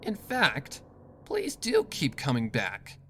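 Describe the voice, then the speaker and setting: Person doing a cold evil mare boss, slow n mocking, storybook villain, some noise behind. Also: mid-range voice, huge pitch swings, bright, forceful tone, full character voice. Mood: mocking, gloating. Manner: taunting, drawn-out, sinister delivery.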